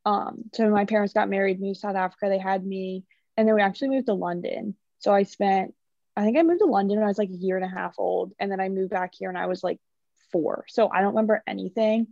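A young woman, a student guest, chatting casually in a relaxed, rambling way and filling her talk with "like". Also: medium pitch, fast talker, gravelly, dry recording, dull audio. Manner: relaxed, rambling, many likes. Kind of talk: casual podcast chat.

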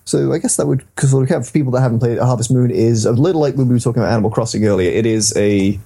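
A man's voice: medium pitch, racing, vocal fry, warm tone, slightly rough. A man hosting a casual, rambling and enthusiastic discussion about games. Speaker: podcast host.

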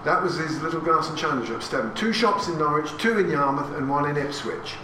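A man's voice: on the high side, brisk. Chatty man addressing an audience in an echoey hall. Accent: English. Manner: chatty and anecdotal, with asides and questions to the audience. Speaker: speaker giving a talk. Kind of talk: talk to a live audience about family history. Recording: echoey room recorded from a distance, with audience noise.

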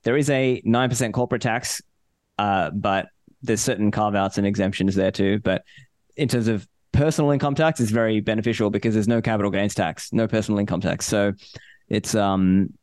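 A man raised in Australia chatting as a podcast guest, relaxed and thoughtful, with fillers and restarts. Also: fairly low pitch, fairly quick, no echo. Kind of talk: casual interview chat.

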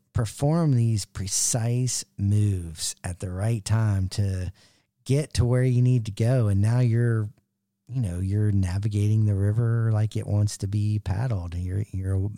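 An American man hosts a casual chat with a laid-back, slangy enthusiasm. His voice sits a bit low and sounds dark and relaxed. The sound is close and dry, with hardly any room on it. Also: measured pace, dry recording. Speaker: interviewer, host.

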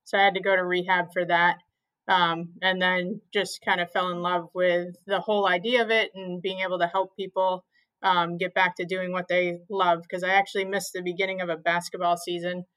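A woman's voice: fairly low pitch, level tone, normal speed, fairly bright tone, rough recording. A young woman from Maine, a physical therapist, answering interview questions plainly with frequent "um"s. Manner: plain, many "um"s, simplifies explanations.

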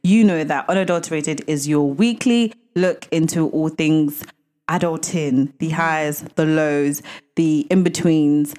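A woman's voice: low-pitched, a little slow, a little mellow. Bubbly woman podcast co-host. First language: Yoruba. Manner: bubbly, laughs a lot. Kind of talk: casual chat.

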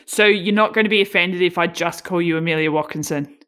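A woman's voice: lowish voice, brisk, fairly bright tone. A woman who commentates races, interviewing a guest in a chatty way with long, wandering questions. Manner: chatty, rambling questions with restarts. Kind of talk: casual interview.

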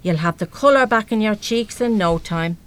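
A woman's voice: mid-range voice, moderate pace, smooth, lightly acted. An actress with an Irish accent playing a brash, big-hearted, uneducated working-class mother in a live radio play, talking bluntly and colloquially with mild swearing. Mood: enthusiastic, pushy.

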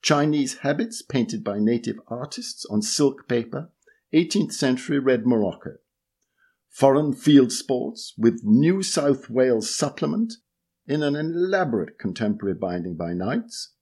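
A man reading a magazine article aloud in measured narration, with lively, varied intonation through long lists. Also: mid-range voice, husky.

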